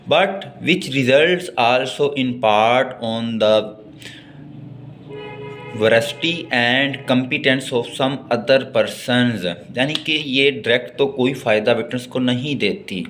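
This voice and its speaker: A man's voice: mid-range voice, fairly bright tone, slight background noise. A man with a Pakistani accent giving a bilingual law lecture, reading out formal text and explaining it by repeating and rephrasing. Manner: explanatory, repeats and rephrases points, slow and halting when reading.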